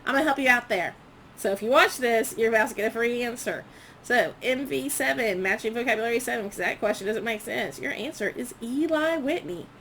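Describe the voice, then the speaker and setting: A woman's voice: on the high side, a little fast, vocal fry, a bit bright, a little hiss or hum. A woman history teacher reading quiz questions aloud to students, enunciating each prompt item by item in an instructional way.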